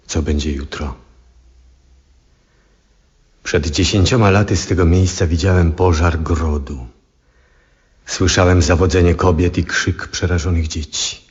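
An old, ailing astronomer in a 1970s radio play. His voice is extremely low and husky, and he broods slowly through a lonely monologue with long pauses. The recording is dull and muffled. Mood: brooding. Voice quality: husky, hushed. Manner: halting, reflective, with long pauses. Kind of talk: 1970s Polish radio drama acting, introspective monologue.

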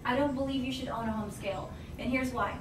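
A woman with a slightly high voice, talking in an emphatic, energetic teaching tone as a nutritionist guest. She is in her thirties and sounds distant from the mic. The small room echoes, with steady noise underneath. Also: fast talker. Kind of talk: radio show interview. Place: small wellness office, indoors.

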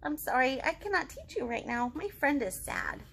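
A woman's voice: sits high, big pitch swings, normal speed, gravelly, fairly bright tone, slight background noise, full character voice. A woman voicing a perky, bossy little girl pig from a storybook, encouraging and emphatic. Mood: concerned.

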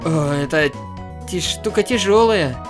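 A grumbling game boy read by a man, sullen and hesitant. He speaks slowly, a little low, over music. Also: expressive. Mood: put-upon. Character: reluctant, grumbling boy dragged along in visual novel.

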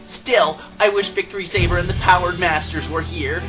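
A man's voice: high voice, steady tone, normal speed, music in the background, close to an everyday voice. A man voicing an anxious, young-sounding robot soldier in an anime fan dub. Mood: worried. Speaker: voice actor in an amateur fan dub.

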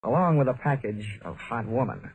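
A man's voice: medium pitch, moderate pace, slight background noise, close to an everyday voice, rough recording. An American man acting a wry, hard-boiled insurance investigator in an old-time radio detective drama. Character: wisecracking, tough male insurance investigator. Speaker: lead actor and narrator. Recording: old radio broadcast with music.

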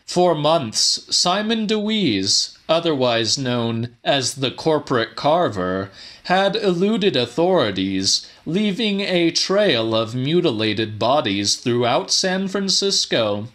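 A man reading a horror audiobook in a measured, theatrical way. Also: on the high side, sing-song, a bit bright. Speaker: audiobook narrator. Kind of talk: dramatic horror audiobook narration.

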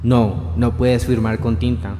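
Devilish vagabond tempter , male actor, radio play. Grandiose, persuasive, monotone delivery, with music underneath. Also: medium pitch, slow, lightly acted. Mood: tempting, solemn. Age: young adult. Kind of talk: student radio drama reading. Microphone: shared handheld microphone. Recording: echoey hall-like room, music behind.

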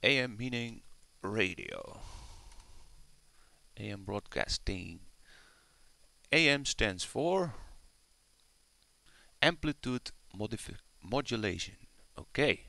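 A man's voice: on the low side, very animated, slow pace, creaky, long pauses, sharp tone, dry recording. A man giving casual, rambling, self-deprecating commentary as a YouTuber over a screen recording.

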